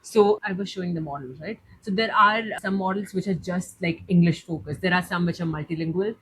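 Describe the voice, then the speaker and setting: A woman's voice: fairly low pitch, a little fast, a bit bright, a little hiss or hum, low-quality recording. Young Indian woman explaining casually. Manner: chatty, leans on "like" while explaining. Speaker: tutorial host. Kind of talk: casual step-by-step demo.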